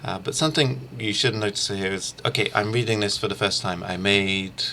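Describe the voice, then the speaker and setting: A middle-aged man giving an informal instructional screencast as a language teacher, haltingly thinking aloud. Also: lowish voice, pushed and bright, a little hiss or hum. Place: small room lined with shelves, indoors.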